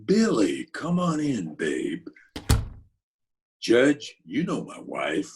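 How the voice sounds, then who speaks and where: An actor playing a gruff, commanding gangster boss, blunt and bossy, in old-time radio comedy style. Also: male voice, medium pitch, huge pitch swings, a little mellow, heavily acted. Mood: gruff, familiar. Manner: blunt, bossy, deliberate slangy talk.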